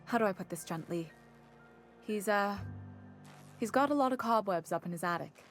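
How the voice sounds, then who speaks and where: A woman's voice: mid-range voice, moderate pace, soft background music, natural voice. A woman playing a guarded, scheming company actuary in a frontier town, confiding and folksy, for a video game. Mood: confiding, insistent. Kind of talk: video game dialogue acting.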